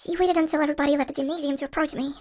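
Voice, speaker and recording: An anxious, tiny college girl, played by a woman for an audio roleplay. Her voice sits extremely high and young. She talks in a chatty, expressive way, hesitating as she goes. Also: very fast, lightly acted. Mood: uneasy recounting. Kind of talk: first-person audio roleplay, conversational acting.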